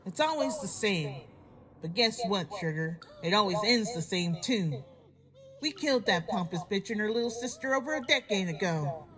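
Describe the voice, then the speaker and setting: A woman's voice: mid-range voice, animated, moderate pace, a bit bright, close to an everyday voice. Woman voicing a sadistic, folksy villain pony. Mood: cruel taunting. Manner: mocking, menacing, folksy talk with y'all and ain't. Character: sadistic villainous female farm pony, mockingly polite killer. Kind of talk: horror fan fiction audio drama acting.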